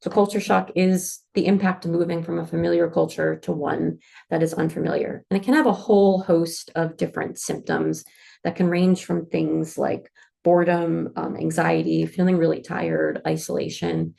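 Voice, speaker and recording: A woman's voice: on the low side, level tone, normal speed, vocal fry, dark tone. A woman with a North American accent presenting a pre-departure information session for students going abroad, friendly and informative with frequent fillers. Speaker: international office staff presenter.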